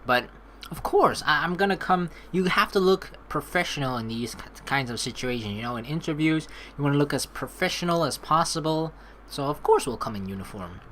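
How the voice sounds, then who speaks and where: Female let's play host: low pitch, very animated delivery, informal narration and asides. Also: a little fast, a little hiss or hum. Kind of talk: gameplay commentary, reading game text aloud.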